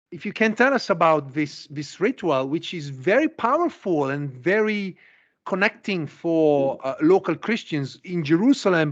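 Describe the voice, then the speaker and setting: Higher-voiced man interviewing, lo-fi sound. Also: a bit bright. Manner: meandering, tentative questions with repeated starts. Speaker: podcast host, interviewer. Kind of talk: conversational interviewing.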